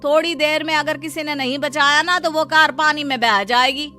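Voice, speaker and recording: A woman's voice: high-pitched, steady tone, moderate pace, pushed and bright, soft background music, pitched above the performer's own voice. A woman voicing a worried, cautious slum neighbour man with urgency in a dramatized story. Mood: alarmed.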